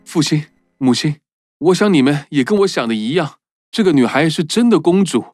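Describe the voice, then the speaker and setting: A man's voice: a little high, animated, normal speed, darkish tone, dry recording, clean studio sound, soft background music, lightly acted. A man voicing a sincere, courteous young prince in a children's cartoon. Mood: earnest. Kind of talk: cartoon dubbing.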